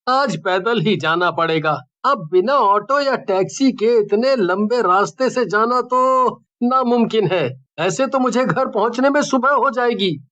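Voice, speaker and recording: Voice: mid-range voice, fairly bright tone, highs cut off. A performer voicing a frightened, pleading young man in a dramatic cartoon horror story. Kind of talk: hobby cartoon story acting.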